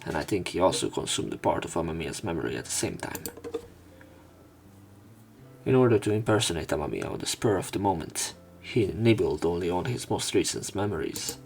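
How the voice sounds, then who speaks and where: A man reading visual novel text aloud as a let's play narrator, in measured short phrases. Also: sits low, creaky, slight background noise. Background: game music.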